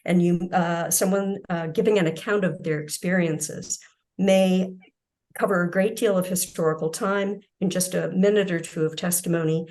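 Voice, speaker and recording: A woman giving an academic keynote lecture, explaining her research in a measured way. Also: on the low side, fairly even intonation, darkish tone. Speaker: keynote speaker.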